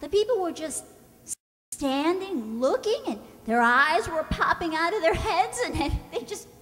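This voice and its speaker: A woman playing an excitable, giggly young contestant on an old-time radio show, telling a story in a flustered, stammering way. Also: very high voice, unhurried, full character voice. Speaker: stunt contestant. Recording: echoey hall.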